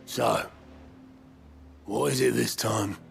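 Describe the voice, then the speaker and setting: An actor playing a gruff, practical middle-aged right-hand man in a video game, gravelly and blunt, with colloquial speech. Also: medium pitch, fairly even intonation, slow pace, soft background music. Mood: sceptical. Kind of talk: dramatic video game voice acting.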